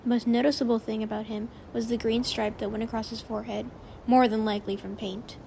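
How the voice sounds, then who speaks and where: A woman's voice: on the high side, level tone, fairly quick, gravelly, warm tone, a little hiss or hum. A woman narrating a story from a script, as in an audiobook of fan fiction.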